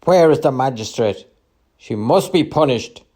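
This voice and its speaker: A man voicing an outraged market trader, barking loud, indignant accusations in a dramatized story reading. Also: medium pitch, expressive, measured pace, pitched above the performer's own voice. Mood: outraged shouting.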